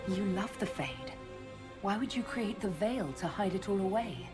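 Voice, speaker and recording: A woman's voice: medium pitch, expressive, moderate pace, natural voice. An actress playing a female elven hero in a video game, a wounded lover who questions earnestly and directly. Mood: probing. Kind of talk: dramatic video game dialogue. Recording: music behind the voice. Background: music.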